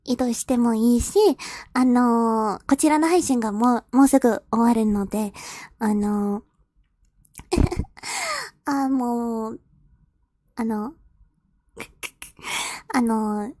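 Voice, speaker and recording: A woman's voice: a little high, expressive, a little slow, pauses often, a little mellow, no echo. A woman streamer reading fanfiction aloud, giggling and stumbling over the words as she goes.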